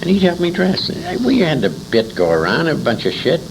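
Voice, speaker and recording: Older gravelly man, swinging, salty delivery. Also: on the high side, big pitch swings, brisk. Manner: rambling, salty, emphatic storyteller. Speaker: interviewee, old-time radio veteran. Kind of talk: casual, bawdy reminiscing. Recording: noisy amateur interview recording.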